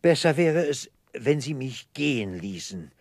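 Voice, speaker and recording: An actor playing a pompous, theatrical middle-aged debtor clerk in despair, declaiming in florid oratory in a 1960s radio play. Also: male voice, medium pitch, sing-song, measured pace, darkish tone, dry recording, clean studio sound. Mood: agitated despair.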